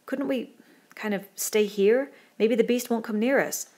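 A woman putting on a timid, high-pitched boy. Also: fairly even intonation, moderate pace, darkish tone, natural voice. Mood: anxious. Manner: hesitant, pleading. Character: Piggy, timid anxious plaintive boy. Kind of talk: audiobook character dialogue.

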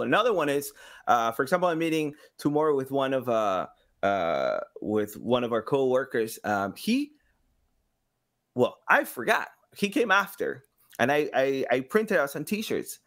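A man in his thirties chatting casually and expressively as an interview guest, often acting out little imagined exchanges. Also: mid-range voice. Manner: expressive, acts out imagined quotes. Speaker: podcast guest, engagement director and sound designer. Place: home room, indoors.

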